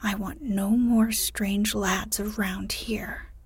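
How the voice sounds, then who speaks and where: A woman voicing the grumpy, fearful wife of a giant, speaking in a glum, breathy whisper. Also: medium pitch, steady tone, takes it slowly, dry recording, heavily acted. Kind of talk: whispered storybook reading.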